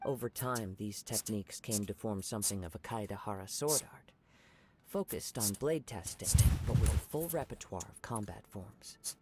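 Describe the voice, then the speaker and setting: An actor playing a calm, polite young wandering samurai in a video game, speaking in a formal, measured way. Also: male voice, lowish voice, background noise, close to an everyday voice. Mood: thoughtful.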